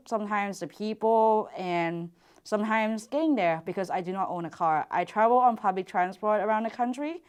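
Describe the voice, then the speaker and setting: A woman in her twenties from Thailand, answering interview questions about her sport in an earnest, reflective way with hesitant pauses. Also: mid-range voice, clear, resonant tone. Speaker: archer and university PhD student.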